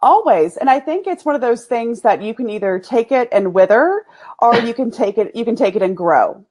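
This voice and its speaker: A woman in her forties with an East Texas accent, a guest talking candidly and folksily in a casual interview, quick to laugh. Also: a little high, expressive, darkish tone. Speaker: agency owner, guest.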